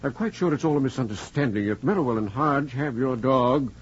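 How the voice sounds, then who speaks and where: An actor playing a courteous, flustered college dean, formal and placating, in a 1940s radio drama. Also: male voice, medium pitch, lively intonation, fairly quick, slightly rough. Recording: dull, noisy 1940s radio recording.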